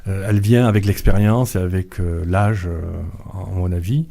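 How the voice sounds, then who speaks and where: A middle-aged man speaks as a radio interview guest, his voice low and his tone mellow. He talks slowly and hesitantly, with frequent fillers and restarts. He sounds calm and unhurried throughout. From Vietnamese family background. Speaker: author and guest.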